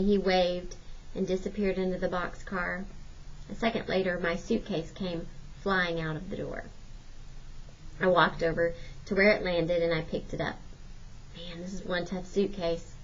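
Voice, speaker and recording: A woman's voice: lowish voice, slight background noise. A woman narrating a children's novel aloud like a teacher reading to pupils. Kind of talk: storybook narration. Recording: slightly dull, lo-fi audio.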